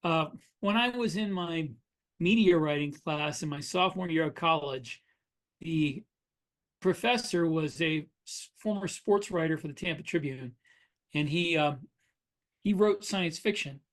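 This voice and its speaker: A middle-aged man with a notably high-pitched voice for a male speaker. He talks casually as a podcast co-host, explaining his thinking and spinning anecdotes in an easy conversational style. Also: unhurried. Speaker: podcast co-host and science fiction author.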